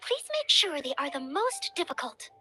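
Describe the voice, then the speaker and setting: A woman's voice: sits high, very animated, normal speed, pushed and bright, heavily acted. An actress playing a polite, earnest young female adventurer in a video game, speaking in a courteous, formal way. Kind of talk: English video game voice acting.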